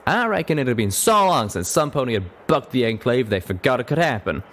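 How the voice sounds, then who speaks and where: A man's voice: medium pitch, very animated, fairly quick, a bit bright, voice pushed up. A man voicing an earnest, folksy pegasus cowboy pony in a storybook reading. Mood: wry, earnest. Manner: drawling, folksy.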